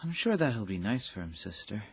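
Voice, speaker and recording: A man's voice: lowish voice, sing-song, moderate pace, slightly rough, dry recording, clean studio sound, heavily acted. A man playing a cheeky, talkative young wounded soldier in a period radio drama. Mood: warm, teasing.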